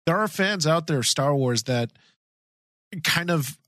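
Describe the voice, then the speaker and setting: A man's voice: a little high, lively intonation, measured pace, a bit bright, no room sound, clean studio sound. A man co-hosting a fan podcast, chatting casually in an earnest, agreeable way. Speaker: podcast co-host.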